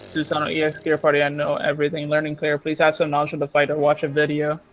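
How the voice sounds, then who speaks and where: A man's voice: on the high side, completely flat delivery, fast, fairly bright tone, poor audio. A man in casual gaming chat with friends, talking informally and easygoing.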